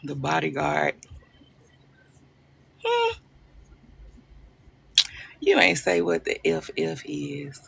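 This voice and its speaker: Gravelly woman chatting live. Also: deep voice, wildly swooping pitch, very slow, long pauses, a bit bright, slight background noise. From Arkansas, US South. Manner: chatty, playful, laughs often, greets viewers by name. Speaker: livestream host. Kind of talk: casual live-stream chat with viewers. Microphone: phone.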